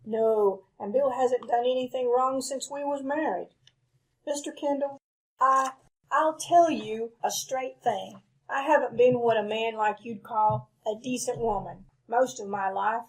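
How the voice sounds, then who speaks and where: An actress playing a loyal, anxious outlaw's wife in a radio Western, speaking hesitantly and humbly with an American Western accent. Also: female voice, on the high side, unhurried, rough recording. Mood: anxious, confessing. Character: plainspoken frontier outlaw's wife, anxious, loyal, once wild. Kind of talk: old-time radio Western acting.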